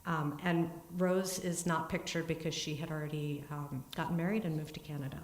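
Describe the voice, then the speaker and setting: A woman giving an earnest, steady talk in a synagogue, then answering questions, with small restarts. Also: low voice, a little fast. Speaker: congregant giving a family history talk. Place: synagogue sanctuary. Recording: echoey room.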